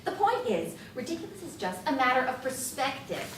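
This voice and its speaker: A woman's voice: high voice, very animated, fairly quick. A woman playing an indignant, compassionate middle-aged mother scolding her family in a staged comedy play reading. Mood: pleading, firm. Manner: emphatic, scolding. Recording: roomy, distant stage recording with noise.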